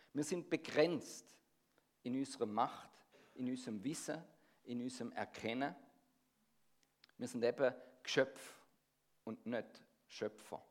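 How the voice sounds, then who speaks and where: A middle-aged man from near Stuttgart with a Swabian accent tinged by Swiss dialect, preaching a sermon and drawing the congregation in with questions. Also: medium pitch. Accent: Swabian-tinged Swiss German. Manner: engaging, asks the congregation questions.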